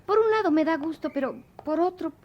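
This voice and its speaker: Young female friend for radio melodrama: inquisitive, uneasy, very high voice, lo-fi recording. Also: animated, fairly quick, a little hiss or hum. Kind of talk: radio drama acting. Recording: dull lo-fi radio drama recording.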